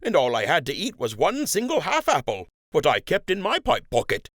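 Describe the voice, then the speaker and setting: Quirky rabbit docker, rustic and rambling, pitched up by a male narrator, close and dry. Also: huge pitch swings, normal speed, a bit bright, dry recording, heavily acted. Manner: folksy ungrammatical country dialect. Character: cheerful rustic male rabbit dock worker, odd and rambling.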